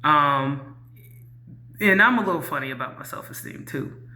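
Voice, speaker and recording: Low-voiced woman, YouTuber, candid chat to camera, bright and sharp tone, reflective, lots of ums. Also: expressive, moderate pace. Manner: candid and reflective, with many "um"s and "you know"s. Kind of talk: casual talk to camera.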